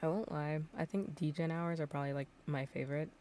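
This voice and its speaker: A woman with a low-pitched voice chats casually on a game livestream. Her voice sounds slightly worn, and she rambles along informally. There is noticeable ambient noise behind her. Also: fairly even intonation, quick pace, darkish tone.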